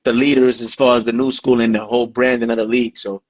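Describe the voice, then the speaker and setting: A man co-hosting a podcast, talking sports in a measured, laid-back way, often saying "I mean" and "you know.". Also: mid-range voice, flat delivery, rough recording. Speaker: podcast co-host. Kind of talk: casual sports talk.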